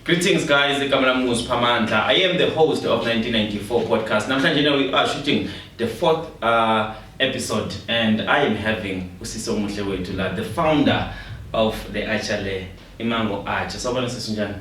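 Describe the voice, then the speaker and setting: A man's voice: fairly low pitch, fairly quick, fairly bright tone, slight background noise. A South African man hosting a podcast, asking questions in a friendly way and switching between Zulu and English. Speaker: podcast host. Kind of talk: casual interview. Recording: echoey room, mic far off.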